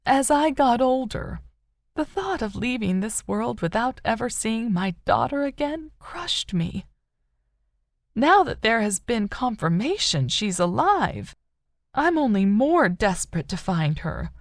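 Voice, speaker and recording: A woman reader performs an older mother in an audiobook novel, haunted by loss but clinging to hope. Her voice is lifted high and soft, with some creak in it. She speaks tenderly and sorrowfully, in a close, dry recording. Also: big pitch swings, unhurried, dry recording. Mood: desperate hope. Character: grieving older mother, wistful, haunted, still hopeful.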